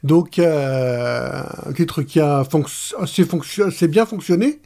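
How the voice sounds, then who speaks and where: Higher-voiced, mellow male interviewer. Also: vocal fry. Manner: halting, searching for words. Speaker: radio interviewer. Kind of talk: casual interview.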